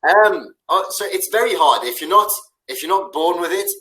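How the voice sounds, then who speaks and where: Bright-toned man with a Northern English accent. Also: high-pitched, animated, moderate pace, rough recording. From born in Italy, raised in England. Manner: friendly, stumbling repeats, frequent "yeah". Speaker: online English tutor. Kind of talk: casual online tutoring conversation. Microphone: video call.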